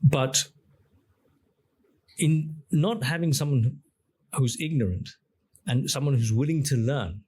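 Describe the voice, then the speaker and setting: A middle-aged man with Greek as his first language speaks as an interview guest, expansive and fond of asking his host questions to make a point. His voice has a husky edge, and his pitch sits in a plain middle range. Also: takes it slowly, long pauses, warm tone. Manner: expansive, explains with questions and examples. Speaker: podcast guest, engineer and app founder. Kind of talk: conversational interview.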